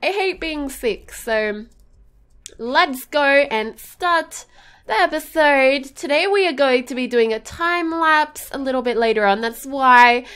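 A woman's voice: sits high, expressive, slow pace, fairly bright tone. A woman hosting a gaming channel, chatting bubbly and casually through her gameplay while sniffly with a cold, with many ums and little gasps.

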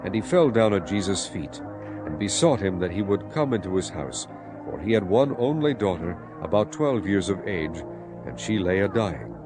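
A man with a low voice narrates scripture in a dramatized style. His intonation is varied and expressive, and quiet music runs beneath the reading. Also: moderate pace.

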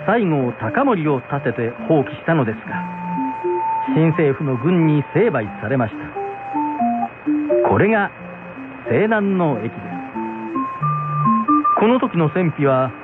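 A man's voice: on the high side, sing-song, slow pace, long pauses. A man narrating a scripted folktale for radio in a polite, traditional storytelling manner. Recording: tinny radio broadcast, music behind.

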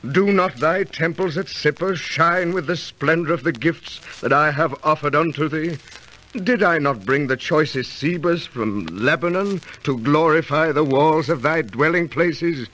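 An actor playing an aggrieved, self-pitying Babylonian merchant in an old radio drama, declaiming and lamenting in archaic, formal speech. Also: male voice, on the high side, unhurried, a bit bright, low-quality recording. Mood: anguished lament. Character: aggrieved, self-pitying ancient Babylonian merchant, turning defiant against his gods. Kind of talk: golden-age radio drama acting. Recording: old radio recording with faint noise.

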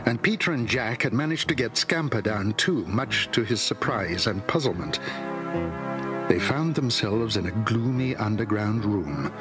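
A man with a British accent narrating a children's mystery story in a scripted, measured way. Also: lowish voice, expressive. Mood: measured storytelling. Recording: 1980s children's cassette with music.

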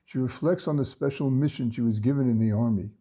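An older man reading a story aloud from his home study into a webcam, unhurried, with frequent pauses and restarts. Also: mid-range voice, dark tone, husky. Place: home study lined with bookshelves.

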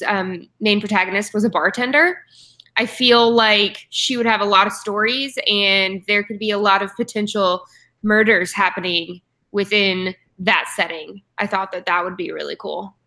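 A woman's voice: medium pitch, bright, forceful tone. A young woman co-hosting a book club over a video call from home, chatty, with frequent ums and restarts. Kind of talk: casual video call chat. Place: home room with posters and bookshelf. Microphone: webcam on video call.

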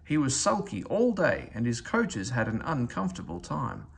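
A man reading a children's story aloud as scripted narration, in an expressive storytelling manner. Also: medium pitch, moderate pace, gravelly, husky. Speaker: storybook reader.

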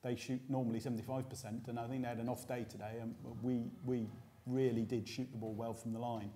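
Mellow-voiced British man hosting sports analysis. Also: medium pitch, level tone, a little hiss or hum. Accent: British English. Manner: measured, explanatory. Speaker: host. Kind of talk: post-match analysis chat. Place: small indoor room before a sponsor backdrop.

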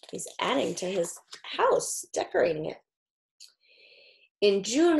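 A woman in her thirties reading a picture book aloud as a teacher, in a deliberate, expressive storytelling manner. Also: fairly high pitch, gravelly, rough recording. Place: small furnished room indoors.

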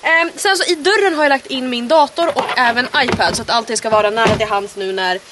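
A woman, chatting casually and informally to camera as a vlogger. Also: a little high. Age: 20s. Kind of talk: casual chatty road trip vlog. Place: in and around a camper van and car. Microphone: handheld vlog camera. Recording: clear background noise, camera audio.

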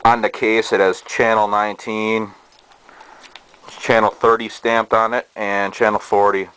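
A man giving a casual show-and-tell in a halting, hesitant way, recorded indoors on a handheld camera's microphone. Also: fairly low pitch, clean tone, low-quality recording. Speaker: hobbyist presenter. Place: small cluttered bedroom indoors. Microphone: handheld camera's built-in microphone. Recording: steady noise, amateur camera sound.

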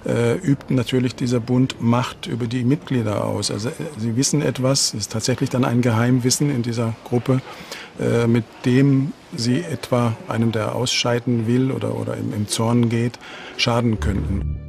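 A man's voice: medium pitch, steady tone, moderate pace, vocal fry, a little mellow, a little hiss or hum. A man explaining as an interviewed expert, thoughtful and hesitant, with frequent fillers and small restarts.

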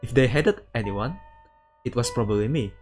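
A man streaming a game, reading the story text aloud in a halting way and adding his own commentary. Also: mid-range voice, lively intonation, a little mellow, soft background music. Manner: halting, stumbles and repeats words while reading. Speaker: streamer playing a visual novel.

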